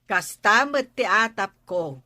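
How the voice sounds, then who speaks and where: Sharp, suspicious Ilocano wife. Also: female voice, medium pitch, expressive, takes it slowly. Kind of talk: Ilocano radio comedy drama acting.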